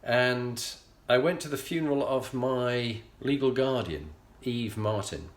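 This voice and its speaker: A middle-aged man with a Yorkshire accent, telling a personal story as a show host in an earnest, measured way with frequent pauses. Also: medium pitch. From England. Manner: earnest, measured, pausing often. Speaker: leadership coach and show host. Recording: echoey room.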